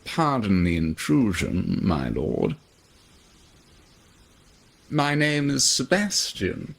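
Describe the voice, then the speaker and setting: A courteous noble household butler from a video game. His low voice has a creaky edge and a lively rise and fall, and his manner is polished and deferential. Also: drawn-out words, heavily acted.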